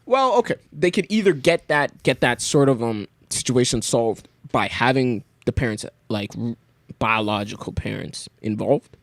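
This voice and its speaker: Young man musing haltingly, dry sound. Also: mid-range voice, animated, no echo. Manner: thoughtful, halting, many restarts. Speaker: podcast guest. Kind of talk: casual conversation.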